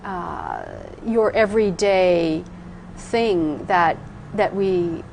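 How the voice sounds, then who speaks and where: A woman's voice: lowish voice, sing-song, very slow, creaky, a little mellow, a little hiss or hum. Woman host asking follow-up questions. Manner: conversational, probing follow-up questions. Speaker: talk show host. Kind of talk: interview questions.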